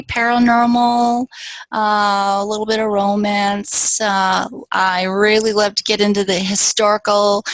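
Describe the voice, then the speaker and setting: A woman from northern Wisconsin hosting an online author panel, talking in a halting way with many fillers. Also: medium pitch, dry recording, low-quality recording. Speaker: panel host. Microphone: video call.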